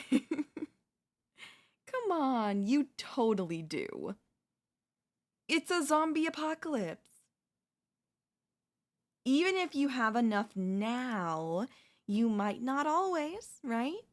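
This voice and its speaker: A woman's voice: sits high, very animated, slow, pauses often, exaggerated voice. A woman voicing a chatty, falsely friendly female zombie in a roleplay audio, coaxing the listener in a wheedling, conversational way with hesitant slips. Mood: pushy, wheedling.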